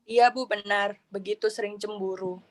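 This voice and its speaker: A woman's voice: medium pitch, steady tone, moderate pace, close to an everyday voice. A woman playing a 28-year-old model who tells a counseling group about her love troubles in a chatty, earnest way. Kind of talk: student counseling roleplay.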